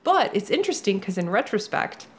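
A therapist whose first language is Polish, talking casually on a podcast, telling stories with lots of laughter and acted-out quotes. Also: female voice, mid-range voice, a little fast, husky. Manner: animated storyteller who acts out quoted speech and laughs often.

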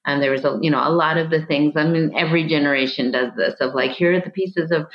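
A woman's voice: deep voice, fairly even intonation, quick pace, lo-fi sound. A middle-aged woman, a guest on an interview, telling a family story in a reflective, searching way with frequent restarts. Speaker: guest, adoptee mother. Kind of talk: reflective conversation.

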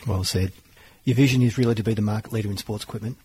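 Male host, brisk, upbeat and friendly, slightly low voice. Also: fairly even intonation, warm tone, a little hiss or hum. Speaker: interviewer host. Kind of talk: business interview.

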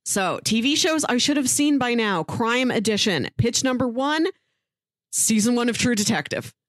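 A woman's voice: a little high, expressive, normal speed, no echo, studio quality. A woman co-hosting a podcast in casual banter, chatty and wry, with a playful way of talking. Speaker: podcast co-host.

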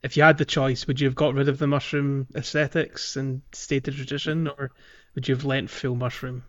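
A man's voice: medium pitch, little pitch movement, moderate pace, muffled recording. A man hosting a casual gaming podcast, explaining things in a hesitant, trailing way. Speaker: podcast host.

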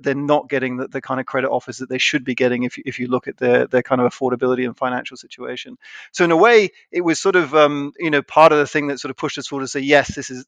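A man in a dry-sounding room, answering interview questions as a company co-founder. He circles back on himself, repeating words and restarting his sentences as he thinks aloud, at a middling pitch. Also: fairly bright tone, no echo. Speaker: CEO and co-founder, guest.

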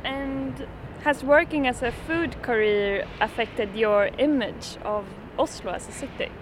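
A woman interviewing a courier, asking prepared questions in a measured way. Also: a little high, noisy background.

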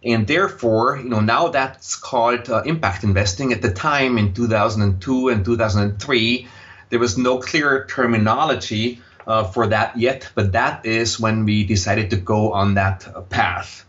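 A man in his sixties with an Austrian German accent, answering interview questions in a measured, thoughtful way with frequent fillers. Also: on the low side, a bit bright. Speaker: guest, impact investor. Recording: slightly dull lo-fi audio.

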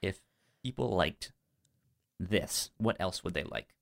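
A man co-hosting a film podcast in casual conversation, halting and stuttering as he repeats sounds and words. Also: low-pitched, lively intonation, creaky, fairly bright tone.

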